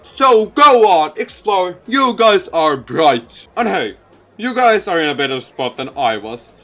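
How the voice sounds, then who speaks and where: A popular, folksy mayor, as a man reads him out in a visual novel. The voice is pitched up and oratorical, jovial yet earnest and encouraging, as in a public address. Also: sing-song, a little slow, fairly bright tone, full character voice. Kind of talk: visual novel speech read-aloud.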